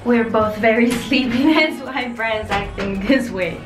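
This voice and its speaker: A woman's voice: on the high side, a little slow, over music. A young woman chatting playfully to a handheld camera while out walking in a city. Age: young adult. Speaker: vlogger. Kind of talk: casual vlog chat. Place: streets and stations of a city, outdoors and indoors. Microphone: handheld camera at arm's length.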